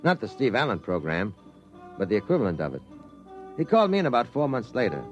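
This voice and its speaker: An actor playing a brisk, skeptical, inquisitive newspaper reporter in a 1950s radio drama, with a wry, probing delivery. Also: male voice, lowish voice, wildly swooping pitch, faint music behind. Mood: storytelling. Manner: wry, probing, punchy questions. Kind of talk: 1950s radio drama acting with first-person narration. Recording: vintage 1950s radio recording.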